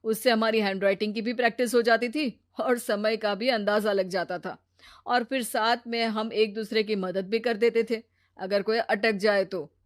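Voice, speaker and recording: A woman's voice: a little high, fairly even intonation, moderate pace, no room sound, clean studio sound, natural voice. A woman playing a sensible, patient and mildly preachy adult brother-in-law in a children's moral story, speaking in an earnest, reasoning way. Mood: earnest, advising.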